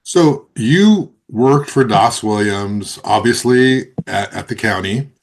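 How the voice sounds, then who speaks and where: A man's voice: lowish voice, expressive, slow pace, a little hiss or hum. A man hosting a casual interview, asking long friendly questions with many fillers and restarts. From California. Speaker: podcast host, interviewer.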